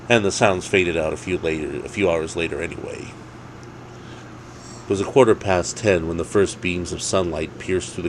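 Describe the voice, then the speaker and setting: A man's voice: low voice, a little hiss or hum, low-quality recording. A man narrating a story in a dramatic storytelling style.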